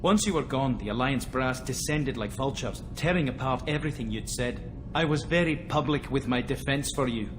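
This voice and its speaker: Actor as outspoken male game engineer, blunt, cheeky, emphatic, middle-pitched, noisy ambience. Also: normal speed, natural voice. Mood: indignant. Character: brash, outspoken, loyal male starship engineer. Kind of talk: game cutscene dialogue. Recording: noisy ship ambience behind.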